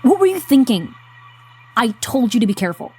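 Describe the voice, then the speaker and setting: A woman's voice: a little high, big pitch swings, fairly quick, warm tone, faint music behind. An actress playing an exasperated young woman scolding a friend in an audio drama, sarcastic and protective.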